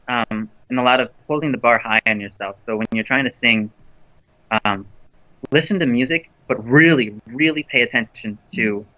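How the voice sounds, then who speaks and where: A man co-hosting a livestream, chatting casually with lots of fillers and restarts about a naturally low voice. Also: dry recording, phone-quality sound. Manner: rambling, with many fillers and restarts. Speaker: livestream co-host.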